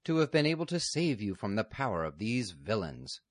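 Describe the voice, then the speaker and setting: A man voicing a smooth, scheming nobleman in a courteous, self-satisfied way. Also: lowish voice, sing-song, moderate pace, no echo, clean studio sound, voice pushed up.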